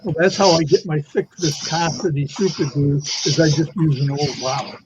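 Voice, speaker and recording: A man chatting casually as a panelist in a relaxed group conversation, asking questions and sharing tips. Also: on the high side, normal speed. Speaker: panelist on a woodworking chat show. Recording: dull lo-fi audio with clear noise.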